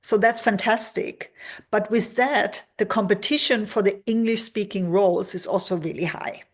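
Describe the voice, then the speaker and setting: A woman from Germany speaks as a guest career expert, recorded over a video call. Her voice sits at a middle pitch, and she answers at length with frequent fillers and restarts. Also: moderate pace. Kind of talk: interview answers.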